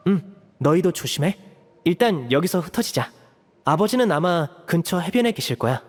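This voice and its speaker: Young male magician, game character, fairly high voice, composed, persuasive, caring, hall-like echo. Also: big pitch swings, normal speed. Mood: composed, resolute. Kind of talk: Korean game voice acting.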